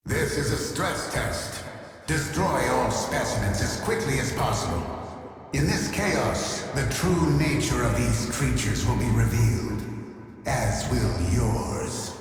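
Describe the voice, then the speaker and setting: A man voicing a cold, imperious keeper of a data sanctuary in a science-fiction game, formal, clinical and commanding, with echoing processing on the voice. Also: medium pitch. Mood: formal approval. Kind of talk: scripted video game character voice acting.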